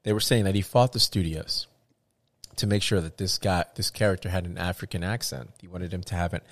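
A man's voice: low voice, a little fast, no echo. A man talking in casual chat as a show host, rambling with many restarts and fillers.

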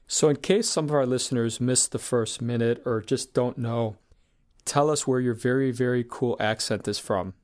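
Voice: mid-range voice, normal speed, darkish tone. An interview host who asks questions in a loose, chatty way, full of filler words. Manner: loose, chatty, many "you know" and "like" fillers. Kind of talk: casual interview chat.

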